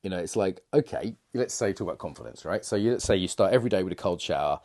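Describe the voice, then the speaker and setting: Give me a middle-aged man with a low, warm voice, musing loosely like a coach. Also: animated, fast talker. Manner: rambling, reflective, frequent fillers and restarts. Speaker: confidence coach. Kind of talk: casual monologue to camera.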